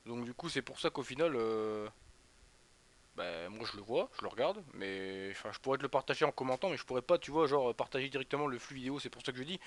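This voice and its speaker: A young man from northeastern France streaming live, chatting with viewers by name while casually commenting on a football match. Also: medium pitch. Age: young adult. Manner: rambling, chatty, talks straight to viewers by name. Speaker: Twitch streamer.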